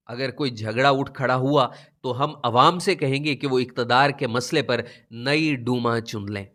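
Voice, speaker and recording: A man's voice: medium pitch, a bit bright. Man reading a memoir expressively. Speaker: audiobook narrator. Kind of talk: scripted dramatic narration of a political memoir.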